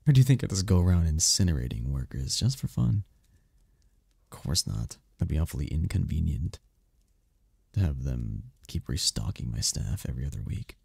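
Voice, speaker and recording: A man playing a seductive, imperious demon prince in an ASMR romance roleplay, teasing and possessive, with a husky, slow, theatrical purr. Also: extremely low pitch, sing-song, warm tone, no room sound, clean studio sound, heavily acted. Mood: teasing, commanding. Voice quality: gravelly, husky, often breathy whisper. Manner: slow, purring, theatrical, commanding.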